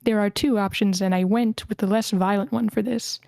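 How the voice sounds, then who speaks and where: Lady hosting a script read, casual, average pitch, no room echo. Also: fairly even intonation, brisk, vocal fry, a little mellow, clean studio sound. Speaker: host of script table reads. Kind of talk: casual moderating and reading aloud.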